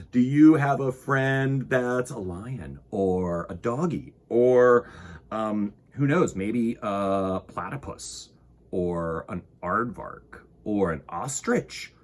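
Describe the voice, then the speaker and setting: Husky-voiced man hosting children's storytime. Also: mid-range voice. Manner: expressive, addresses young listeners directly with questions. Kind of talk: picture-book read-aloud and chat to children.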